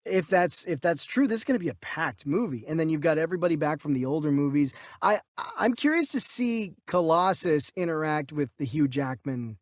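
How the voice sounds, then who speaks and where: Male co-host, notably high voice with lively swings, conversational, thinking out loud, dry recording. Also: brisk, few pauses, no echo. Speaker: podcast co-host. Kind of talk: casual conversational reactions and commentary.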